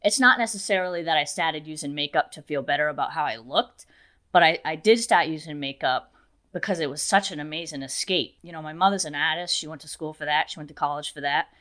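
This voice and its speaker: A woman in her twenties with a deep voice, a makeup artist chatting as an interview guest, talkative and quick to laugh. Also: fairly bright tone. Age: 20s. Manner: chatty, laughs often, storytelling. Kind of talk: casual interview chat.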